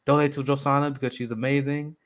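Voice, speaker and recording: A man's voice: medium pitch, flat delivery, fast. A man hosting a political livestream, casually running through the show schedule in an upbeat way. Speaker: host.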